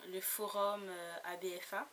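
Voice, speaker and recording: A woman's voice: mid-range voice, little pitch movement, moderate pace. A woman chatting casually about her latest book haul, giggly and full of fillers and restarts. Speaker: book blogger. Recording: noisy home recording.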